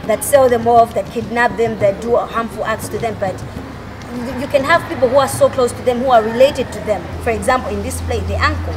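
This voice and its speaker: A woman in her twenties, a workshop facilitator, explaining things in a documentary interview and repeating phrases as she goes. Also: fairly high pitch. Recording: loud crowd noise behind the voice.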